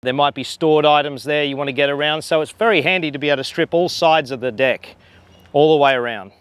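Fishing guide demonstrating casting technique outdoors. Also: male voice, on the high side, fast, clean tone, no echo. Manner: relaxed explaining with hesitations. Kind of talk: instructional demonstration. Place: outdoors on a harbor dock.

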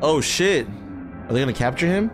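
A man's voice: on the high side, lively intonation, normal speed, over music. A young man giving casual movie reaction commentary in short, slangy, sweary interjections, close on a microphone. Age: young adult. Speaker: reaction video co-host. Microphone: close studio microphone on boom arm.

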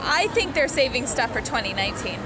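A woman with a fairly high voice chats casually as a podcast co-host. She is recorded in a busy public space, with a loud crowd and heavy noise all around her. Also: big pitch swings, brisk.

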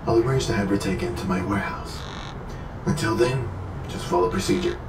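An actor playing an authoritative older scientist, measured, precise and explanatory, in a science fiction audio drama. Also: male voice, fairly low pitch, natural voice. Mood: commanding. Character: authoritative, calculating older male scientist doctor. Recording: echoey room, clear background noise.

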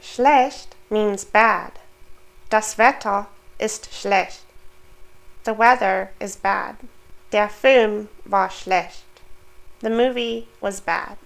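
Slow, careful woman teaching vocabulary. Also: medium pitch, creaky. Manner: reads each word and example sentence slowly, then gives the English. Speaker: German language teacher. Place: small room indoors. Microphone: studio microphone on boom arm.

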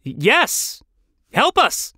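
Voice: high voice, huge pitch swings, slow, fairly bright tone, dry recording, clean studio sound, pitched above the performer's own voice, exaggerated voice. A narrator voicing an irritable, desperate male bird in an audio story, snappish and exclaiming. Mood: urgent. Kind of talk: audio fiction character voice.

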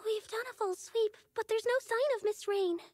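A woman's voice: very high-pitched, steady tone, moderate pace, a little mellow. A woman voicing a polite, sweetly genteel young maid in a video game, dainty and deferential.

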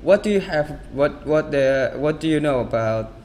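A male interviewer on a headset asking hesitant questions over a video call, with halting restarts. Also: fairly high pitch, lively intonation, darkish tone, slight background noise. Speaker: interviewer, host.

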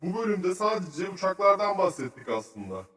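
A man's voice: high voice, big pitch swings, moderate pace, a bit bright. A man voicing a keen, friendly cartoon researcher sidekick who sums things up instructively for children. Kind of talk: children's educational cartoon acting. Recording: roomy, slightly distant sound.

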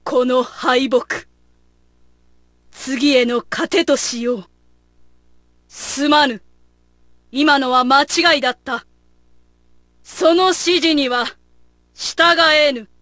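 A woman's voice: sits high, drawn-out words, long pauses, a bit bright, no echo, studio quality, heavily acted. A woman voicing a proud, gallant noble warrior in a video game, with formal, stately martial delivery. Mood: grave. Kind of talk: video game battle voice lines.

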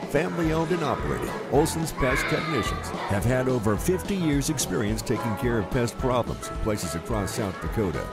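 A man's voice: mid-range voice, big pitch swings, moderate pace, hardly any pauses. A man reading a scripted advertisement as a commercial announcer. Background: loud music.

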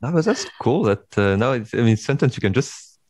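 A man's voice: medium pitch, sing-song, brisk, darkish tone, no room sound, clean studio sound. A man with a Vietnamese accent hosting a podcast interview, speaking in a hesitant way full of fillers and restarts. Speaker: podcast host. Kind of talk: casual interview chat.

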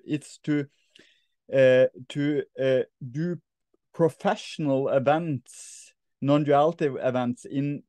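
A man in his thirties with a Norwegian accent, chatting casually on a video call, halting with many fillers and restarts. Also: fairly high pitch, dark tone, no echo. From Norway. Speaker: host of non-duality talks. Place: bright room indoors. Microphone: laptop webcam on a video call.

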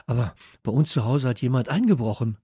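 A man's voice: mid-range voice, lively intonation, a little fast, dark tone, breathy edge, dry recording, studio quality. A man voicing an agitated, emphatic boy in a children's audiobook. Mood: excited. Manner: agitated, emphatic, screechy when challenged. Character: excitable boy insisting on a burglary.

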